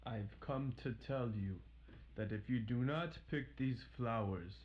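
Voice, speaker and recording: A man's voice: medium pitch, takes it slowly, natural voice. Casting: male reader as a cunning storybook wolf, mock-humble and coaxing with menace, slightly muffled recording. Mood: sly, threatening. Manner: deviously coaxing. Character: sly, devious male wolf feigning humility.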